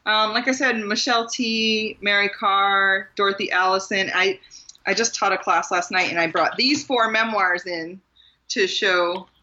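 A woman's voice: mid-range voice, steady tone, unhurried, bright, forceful tone, dull audio. An American woman talking casually and reflectively as the guest in an interview about her own experience. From Maryland, US. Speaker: guest author and writing teacher.

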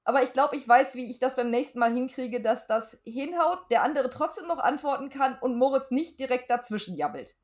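A woman's voice: high-pitched, a little fast, few pauses. A woman chatting casually as a podcast co-host, blunt and colloquial in the way she puts things. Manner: blunt, colloquial, salty-tongued. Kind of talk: casual podcast chat reviewing an audio drama.

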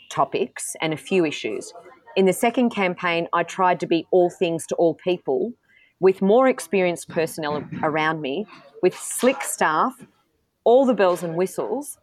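A self-assured woman in middle age answers interview questions in a candid, forthright way. Her voice sits a little low, and she often restarts her sentences. The recording is close and dry, with no room sound. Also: no room sound. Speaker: politician and guest.

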